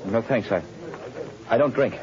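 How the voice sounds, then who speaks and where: A man's voice: mid-range voice, measured pace, close to an everyday voice. An actor playing an earnest, desperate out-of-work family man in a 1930s radio drama. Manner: earnest, pleading, rising to panic. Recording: muffled, noisy 1930s radio recording.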